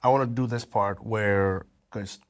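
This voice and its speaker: A man with a fairly low voice hosts a casual interview podcast. He nods along with brief affirmations and steers the talk into new parts. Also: steady tone, measured pace. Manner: supportive listener, frequent short affirmations. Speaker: podcast host.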